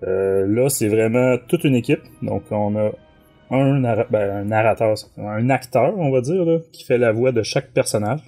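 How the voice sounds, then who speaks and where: A man's voice: medium pitch, normal speed, mellow, faint music behind. A man with a Quebec French accent, casually discussing a book as a podcast co-host. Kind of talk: casual chat.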